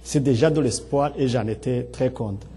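A middle-aged man with a Burundian accent and a husky voice, giving an earnest, explanatory public speech with halting, repeated phrases. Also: medium pitch, warm tone. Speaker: speaker at a public talk on integration. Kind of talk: public speech, partly improvised.

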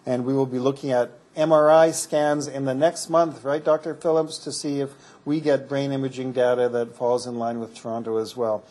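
Brief: male medical researcher at a lectern, explanatory and halting, mellow timbre. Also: mid-range voice, little pitch movement. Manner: explanatory, frequent restarts and fillers. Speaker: medical researcher presenting findings. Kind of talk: academic conference talk with Q&A.

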